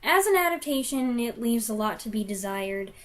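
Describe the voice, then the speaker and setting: A woman's voice: a little high, lively intonation, normal speed. A woman giving a conversational review, opinionated and given to wry asides.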